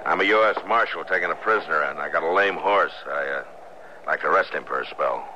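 An actor playing a terse, commanding American U.S. Marshal in an old-time radio western. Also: male voice, a bass voice, fairly quick, sharp tone, full character voice. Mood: calm, firm. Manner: terse, plainspoken. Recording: muffled, noisy vintage radio recording.

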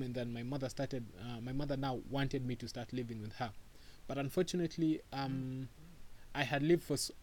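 A man's voice: medium pitch, a little mellow. A man from Kenya, a podcast guest answering questions casually and hesitantly, with many fillers and restarts.

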